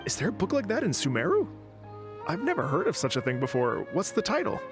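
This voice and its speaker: Creaky, animated, flustered shopkeeper. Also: medium pitch, quick pace. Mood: puzzled. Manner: hesitant, casual, stammering. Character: flustered, obliging bookstore keeper. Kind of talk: anime video game voice acting. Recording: game audio over clear background music.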